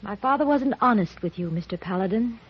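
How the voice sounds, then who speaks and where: An actress playing a loyal, defiant young American wife, earnest and emphatic, in a radio western. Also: female voice, mid-range voice, lively intonation, brisk, slight background noise, close to an everyday voice. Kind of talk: 1950s radio western acting. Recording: muffled old radio recording.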